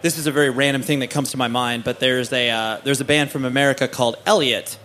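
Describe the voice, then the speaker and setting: A man's voice: medium pitch, steady tone, fast talker, fairly bright tone, a little hiss or hum. Meandering male interview host. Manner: meandering, hesitant, many "uh" and restarts while framing questions. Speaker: podcast host. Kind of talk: casual interview chat.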